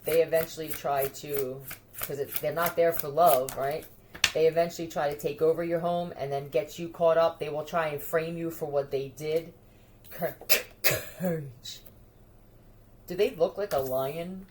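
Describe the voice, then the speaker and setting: A woman's voice: low voice, fairly even intonation, a little slow, background noise. A woman talking in a scattered, profane monologue, addressing unseen listeners directly. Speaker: video creator. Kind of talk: rambling monologue to camera.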